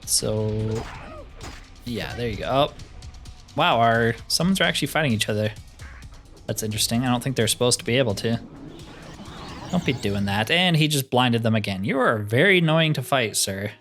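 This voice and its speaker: A man's voice: medium pitch, lively intonation, normal speed, long pauses, fairly bright tone. Man thinking aloud over faint music. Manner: thinks aloud, wry grumbling. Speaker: gaming video host. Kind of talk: casual let's play commentary.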